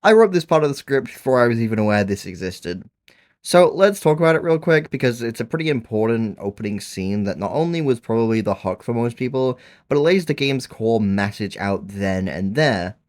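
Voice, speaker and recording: A man's voice: mid-range voice, expressive, a little fast, a little mellow. A man narrating a scripted video essay in an earnest, enthusiastic manner, with casual asides.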